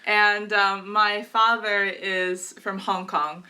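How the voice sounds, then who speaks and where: Bright-voiced chatty American woman. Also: mid-range voice, flat delivery, slow pace. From United States. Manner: laughing, chatty. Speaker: co-host. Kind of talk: casual live-stream chat.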